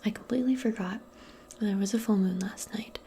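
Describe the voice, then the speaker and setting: A woman role-playing in soft-spoken ASMR, speaking gently and soothingly in a breathy, mostly whispered voice. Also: medium pitch, slight background noise. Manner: gentle, soothing, unhurried, conversational. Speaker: ASMR performer role-playing a modern witch.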